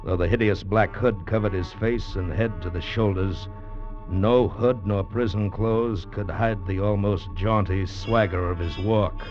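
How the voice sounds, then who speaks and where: An actor playing a grim convict witness who solemnly narrates a tale of horror in 1940s radio drama style. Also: male voice, sits low, a little mellow. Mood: grave, defiant. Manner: solemn, dramatic, deliberate storytelling. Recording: muffled old radio recording with music.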